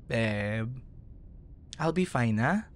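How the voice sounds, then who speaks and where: A man's voice: mid-range voice, animated, very slow. A man with a Filipino accent playing an affectionate, playful young boyfriend comforting a scared partner in an intimate ASMR roleplay. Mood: concerned, reassuring. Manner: teasing, tender, chuckles often. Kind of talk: ASMR roleplay, intimate one-sided dialogue.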